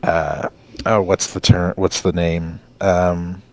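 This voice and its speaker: A man's voice: low-pitched, level tone, slow pace. A man co-hosting a casual discussion, speaking hesitantly as he searches for his words. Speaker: co-host. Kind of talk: casual discussion after a story reading.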